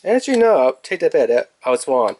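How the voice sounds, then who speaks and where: A man's voice: on the high side, very animated, fast talker, a little mellow. A man giving a casual product review in an informal, rambling way, thinking aloud as he goes.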